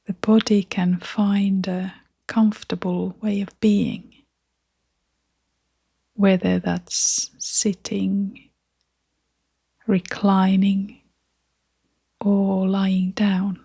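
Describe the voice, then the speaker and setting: A woman guiding a meditation in a soft, half-whispered voice, gentle and soothing, leaving long pauses between phrases. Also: medium pitch, little pitch movement, creaky, breathy edge. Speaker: meditation guide. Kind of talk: guided meditation narration.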